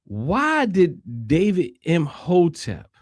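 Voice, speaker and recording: An American man hosting a casual livestream discussion, speaking haltingly and repeating phrases for emphasis. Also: sits high, huge pitch swings, no room sound, clean studio sound. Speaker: online show host.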